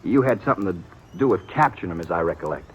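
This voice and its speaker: An actor playing a stern young rancher in a radio western, talking in blunt, emphatic frontier phrases. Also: male voice, low-pitched, expressive, fast talker. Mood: grim, intense. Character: stern, hearty young rancher, protective brother, fixed in opinions. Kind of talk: 1950s radio western acting. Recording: dull, noisy vintage radio recording.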